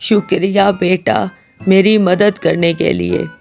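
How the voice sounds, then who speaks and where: A woman voicing a kindly old man in a cartoon story, gentle and instructive, over music. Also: fairly low pitch, fairly even intonation, takes it slowly, vocal fry, pitched below the performer's own voice.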